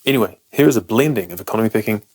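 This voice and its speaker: Low-voiced British man explaining guitar technique. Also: lively intonation, racing, darkish tone, slight background noise. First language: English. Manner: frank, self-deprecating and emphatic. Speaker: guitar teacher.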